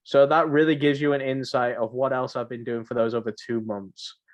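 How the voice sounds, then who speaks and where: A man's voice: medium pitch, level tone, moderate pace. A man hosting a casual podcast chat, somewhat hesitant and often repeating his phrases. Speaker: podcast host.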